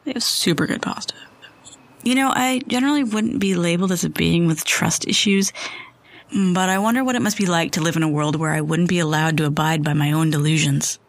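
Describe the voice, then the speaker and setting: A woman playing a wry, paranoid storyteller in a scripted audio fiction, with deliberate literary phrasing. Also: on the low side, natural voice. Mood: wry, playful. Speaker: storyteller and host of a fiction podcast. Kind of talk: scripted dramatic first-person narration.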